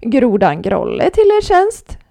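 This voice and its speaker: A courteous frog father from a storybook, played by a woman, talking in a formal, croaking way with a slightly raised voice. The sound is mellow with a gravelly edge, close and dry. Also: a little high, huge pitch swings, dry recording, heavily acted. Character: polite male frog, busy father.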